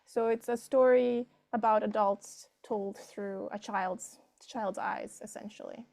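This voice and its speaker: A woman's voice: medium pitch, fairly even intonation, unhurried, vocal fry, a little mellow. A woman, answering interview questions conversationally and thinking aloud as she goes. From Serbia. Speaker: filmmaker being interviewed.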